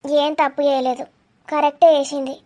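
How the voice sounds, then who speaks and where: A woman's voice: high voice, little pitch movement, moderate pace, mellow, close to an everyday voice. A woman playing a stubborn, outspoken village mother in a cartoon. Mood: stubborn, defiant. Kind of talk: animated cartoon dialogue.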